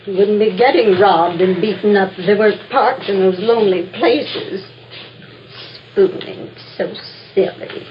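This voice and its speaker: A muffled, noisy vintage radio recording carries an actress playing an older American mother. She is homely and doting, fussing and gently nagging in 1950s radio drama style, at a middling feminine pitch. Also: unhurried, close to an everyday voice. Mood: concerned, disapproving.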